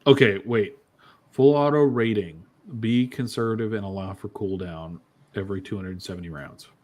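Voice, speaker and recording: A man's voice: lowish voice, darkish tone. A man hosting a casual podcast live stream, joking and teasing his co-hosts. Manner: jokey, quick laughs, teasing. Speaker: podcast host.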